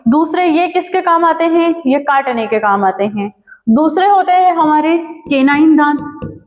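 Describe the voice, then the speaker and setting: A woman's voice: sits high, lively intonation, a little slow, big-room sound, lo-fi sound. A woman teaching a lesson, asking the class questions and then answering them herself. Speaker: biology teacher.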